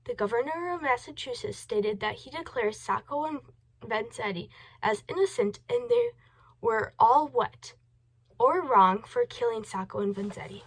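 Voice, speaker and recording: A woman with a fairly high voice presents a scripted news report. She reads it out as an amateur narrator, occasionally tripping over the wording. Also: fairly bright tone, breathy edge, rough recording. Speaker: news presenter in a student history project.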